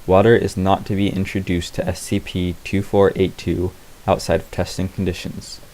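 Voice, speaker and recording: A man giving a deadpan, clinical and formal narration of a scripted fictional report. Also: low-pitched, normal speed, rough recording. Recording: faint hiss behind the voice.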